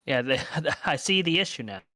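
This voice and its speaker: A man's voice: on the high side, animated, normal speed, sharp tone, no echo. A man playing a tabletop game, chatting casually in a blunt, joking way.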